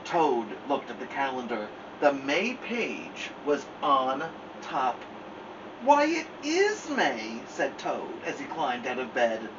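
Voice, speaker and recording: A man's voice: mid-range voice, expressive, takes it slowly, recorded from a distance, dull audio, noisy background. A man in his thirties reading a children's story aloud expressively as a story time host. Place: small room at home.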